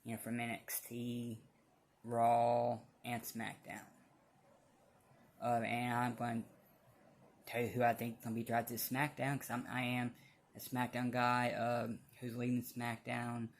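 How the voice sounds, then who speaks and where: A man giving casual opinion commentary as a fan vlogger, speaking bluntly in a halting, rambling way. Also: mid-range voice, monotone.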